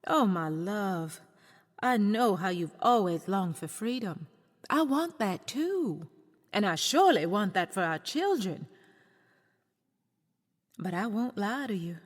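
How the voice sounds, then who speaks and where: A woman's voice: mid-range voice, expressive, slow pace, pauses often. A woman playing a frightened but devoted enslaved wife and mother of the 1860s in an earnest, tender historical drama. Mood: tender, fearful. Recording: long reverberant hall, music underneath. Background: music.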